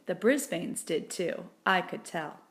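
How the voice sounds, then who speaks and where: A woman reading a children's book aloud in a deliberate, expressive storybook narration. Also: on the low side.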